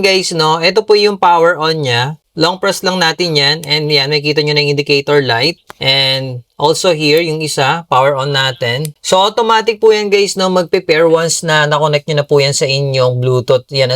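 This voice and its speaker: Filipino man, higher-pitched voice, casual tutorial. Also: fairly bright tone, dry recording, studio quality. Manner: conversational, often addressing viewers as guys. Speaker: product reviewer and tutorial presenter. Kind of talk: casual upbeat tech tutorial.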